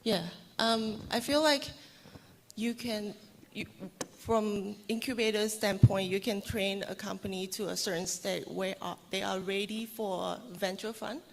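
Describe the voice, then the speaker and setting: A woman's voice: medium pitch, steady tone, unhurried, creaky, slight background noise. Woman, panelist, casual, lots of fillers, long hall reverb. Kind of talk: panel discussion answer.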